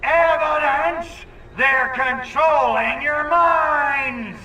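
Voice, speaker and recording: A man's voice: very high voice, drawn-out words, sharp tone, highs cut off, a little hiss or hum, exaggerated voice. A man voicing a cocky, sarcastic little alien invader in a video game cutscene. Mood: rabble-rousing. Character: brash, wisecracking little male alien invader.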